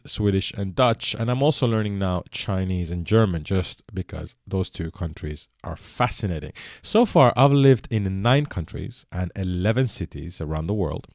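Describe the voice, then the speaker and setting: Man, low voice, dry close sound, from Iran, Persian first language, earnest solo podcast host. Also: darkish tone, dry recording. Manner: earnest, explanatory, with self-corrections.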